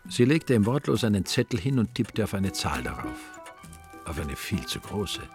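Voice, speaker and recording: Male narrator, low creaky voice, dry irony, scripted radio drama narration, music behind. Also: very animated, normal speed, a little mellow. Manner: dry, ironic. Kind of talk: scripted, wry literary narration.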